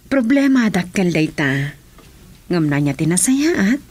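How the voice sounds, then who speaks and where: A woman's voice: mid-range voice, big pitch swings, a little slow, darkish tone, husky. An actress playing a woman chatting with her friend in an Ilocano radio drama. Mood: conversational.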